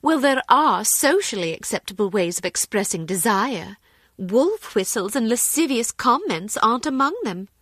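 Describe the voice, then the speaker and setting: A woman's voice: on the high side, animated, moderate pace, fairly bright tone, no room sound, clean studio sound. An actress playing a self-assured, flirtatious woman tourist with a haughty, prim way of talking. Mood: coaching. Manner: haughty, coaching.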